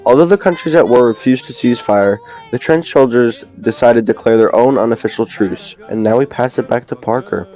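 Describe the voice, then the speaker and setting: A man's voice: medium pitch, fairly quick, hardly any pauses, mellow, lo-fi sound. A young man presenting from a script in the manner of a quiz host. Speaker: student co-presenter and quizmaster.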